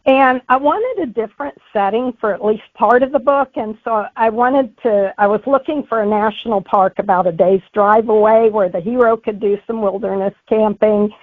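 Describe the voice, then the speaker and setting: A woman's voice: a little high, moderate pace, few pauses, no echo. A woman being interviewed as an author, giving conversational answers over a thin phone-like connection. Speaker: author guest.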